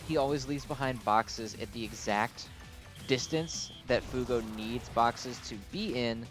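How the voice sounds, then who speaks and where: A man's voice: medium pitch, measured pace, over music. A man giving casual, unscripted game commentary as a video host, explaining things in a hesitant, rambling way.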